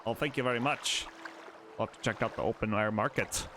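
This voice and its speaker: A man's voice: on the low side, a little fast, noisy background. A man hosting a let's play, chatting casually and self-deprecatingly as he plays. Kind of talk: casual gameplay commentary.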